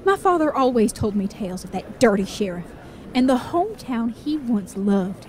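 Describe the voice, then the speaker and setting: A woman's voice: on the high side, sing-song, normal speed, a little hiss or hum. Shifty, bitter Wild West outlaw voiced by a woman. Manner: evasive, bitter, theatrical. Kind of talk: western audio drama acting.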